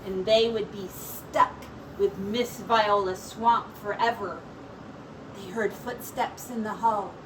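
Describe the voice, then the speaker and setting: Woman teacher, expressive storybook reader for kids, unhurried delivery, recorded from a distance. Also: medium pitch. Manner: expressive, encouraging, addressing young children. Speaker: teacher reading aloud to children. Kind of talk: animated picture book read-aloud. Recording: distant mic with clear background noise.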